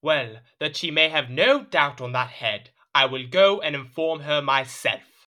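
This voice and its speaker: A man's voice: fairly high pitch, lively intonation, a little slow, pushed and bright. A man playing a haughty, suspicious king in a period audio drama, commanding and formal in his delivery. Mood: pointed, displeased.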